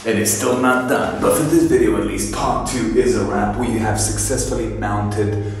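A man's voice: lowish voice, fairly even intonation, moderate pace, distant mic. A man casually vlogging his house renovation, upbeat, chatty and jokey, talking in a bare room under construction. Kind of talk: casual home renovation vlog. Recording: very echoey empty room with long reverb, music underneath.

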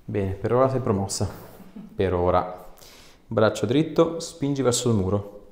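The voice is a man's, low and creaky. He talks casually as an osteopath examining a patient, giving short, reassuring instructions. The small treatment room adds a clear echo. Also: measured pace, a little mellow. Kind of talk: casual hands-on exam talk, ASMR video.

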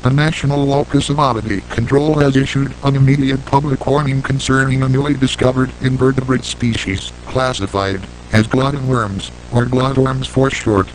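A man delivering a scripted emergency warning as an announcer, in a formal, measured and impersonal manner, in analog horror style. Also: medium pitch, a little hiss or hum, rough recording.

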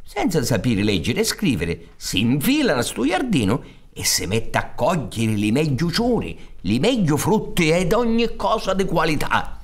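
Casting: male storyteller in his sixties, Sicilian accent, higher-set voice, very slow, extremely animated folk-tale delivery. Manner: expressive, drawn-out, with repetitions for emphasis. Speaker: storyteller narrator. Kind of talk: theatrical oral storytelling of folk tales. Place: theatre stage set up for radio recording. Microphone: large studio condenser on stand.

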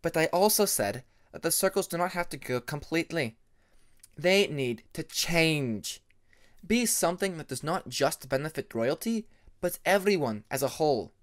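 A man voicing a reserved, proud young female dragon student who speaks formally and in measured sentences. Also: a little high, animated, pitched above the performer's own voice, close to an everyday voice. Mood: slightly annoyed. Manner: formal, measured, uncontracted speech.

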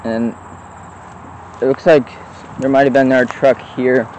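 A man's voice: medium pitch, level tone, moderate pace, darkish tone, lo-fi sound. A man casually narrating a walk around an abandoned lot with old trucks, talking offhand and rambling, recorded outdoors on a handheld phone. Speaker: vlogger exploring abandoned vehicles. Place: outdoors in an overgrown lot beside a road. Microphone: handheld phone camera. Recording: faint outdoor traffic noise. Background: faint road traffic.

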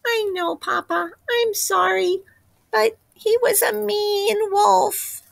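A woman voicing an eager, excitable little red chicken, a young girl who pleads and exclaims, in a storybook reading. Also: very high-pitched, slow, creaky, no echo, voice pushed up, exaggerated voice. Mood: sheepish.

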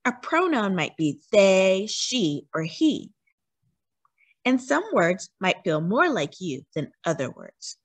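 A woman reads a picture book aloud for young children. Her medium-pitched voice has a husky edge and swings widely in melody. She is expressive and often turns to her little listeners with a question. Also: slow, no echo. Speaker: read-aloud storyteller for children.

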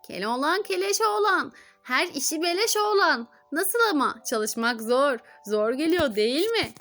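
A woman acts out a cheeky little mouse from a children's storybook. The voice is very high, with a teasing, sing-song and gossipy delivery. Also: animated, measured pace, fairly bright tone, soft background music, full character voice. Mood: mocking, teasing.

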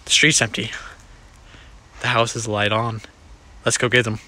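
A man's voice: medium pitch, flat delivery, normal speed, pushed and bright. Man playing a dogged, muttering beast on the hunt. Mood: searching, intent. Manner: repetitive muttering to himself. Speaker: puppeteer voicing a character. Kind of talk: improvised home puppet film dialogue.

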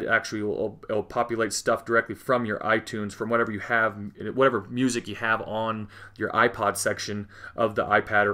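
Casual low-voiced man teaching app. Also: bright, forceful tone. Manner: casual, frequent fillers and self-corrections. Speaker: tech tutorial host. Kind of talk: unscripted screen-recording walkthrough.

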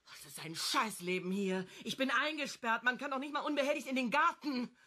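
Bitter, trapped wife for a radio play, sarcastic, bright and highish voice, animated delivery. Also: female voice, fairly quick. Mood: fed up. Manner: sarcastic, complaining. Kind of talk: German radio crime drama acting.

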